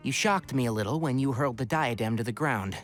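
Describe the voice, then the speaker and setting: Man as a composed, measured young man, music behind. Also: medium pitch, close to an everyday voice. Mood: measured, thoughtful. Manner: measured, reasoning. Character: composed, thoughtful, gently dry young man, a friend. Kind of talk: video game voice acting.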